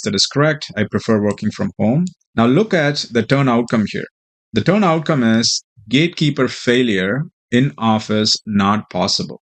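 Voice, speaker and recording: Man, lowish voice, informal tutorial, software demo presenter, hesitant halting delivery.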